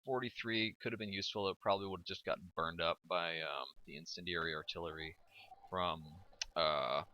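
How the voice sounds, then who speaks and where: Male co-caster, deep but bright voice, chatty and halting, laughs a lot; muffled lo-fi mic. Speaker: game caster. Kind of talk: casual strategy game commentary.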